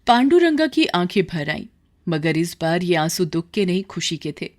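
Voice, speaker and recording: A woman narrates a scripted moral tale as a storyteller. Her voice is a little low for a woman, with highly animated intonation that carries the drama. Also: moderate pace.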